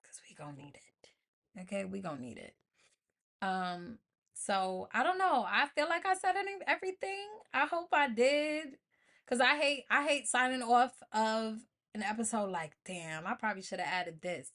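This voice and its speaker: A woman's voice: a little high, lively intonation, moderate pace, fairly bright tone. An American woman hosting an informal motivational podcast solo, speaking colloquially and emphatically, repeating phrases to drive them home. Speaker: podcast host.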